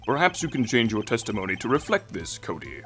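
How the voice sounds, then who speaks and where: A man's voice: medium pitch, sing-song, brisk, fairly bright tone, soft background music. A man playing an older, easily bewildered judge in a video game fandub, formal and blustering. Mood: puzzled. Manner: formal, blustering, stammering when startled.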